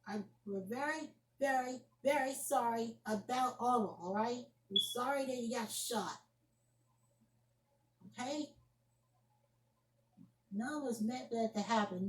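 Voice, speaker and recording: An indignant young woman, acted by a woman in a homemade solo drama, with a fairly high voice. She scolds down the phone, hammering points home by repeating them. The room around her rings with a noticeable echo. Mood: exasperated apology. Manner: scolding, emphatic, repeats phrases. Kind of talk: amateur solo acted monologue, one side of a phone argument. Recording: roomy echoing home recording.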